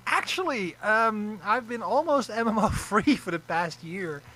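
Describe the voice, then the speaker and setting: A man's voice: sits high, expressive, unhurried, bright, forceful tone, a little hiss or hum, low-quality recording. A man co-hosting a gaming podcast in casual chat, joking and self-mocking. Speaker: podcast co-host.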